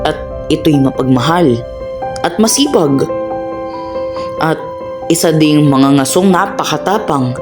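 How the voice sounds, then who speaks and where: A man acting as radio DJ and narrator, telling a folk tale slowly and deliberately. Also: on the high side, expressive. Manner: slow, deliberate storytelling. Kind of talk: storybook narration for a radio play. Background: music and birdsong.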